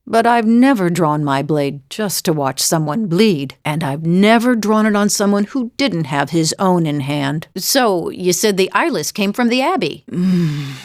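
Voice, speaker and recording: Weary, low-voiced middle-aged adventurer woman. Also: dry recording, studio quality. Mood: wry, dry. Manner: wry, confiding, plain-spoken. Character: weary, wry middle-aged woman adventurer, former pirate, guilt-burdened. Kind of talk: video game character dialogue.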